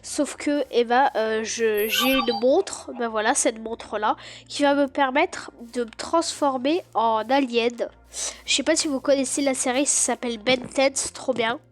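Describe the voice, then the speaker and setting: A woman with a stuffy cold, chatting excitedly to her viewers as she plays and comments on a game. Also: on the high side, measured pace. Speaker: gaming YouTuber. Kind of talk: casual gameplay commentary.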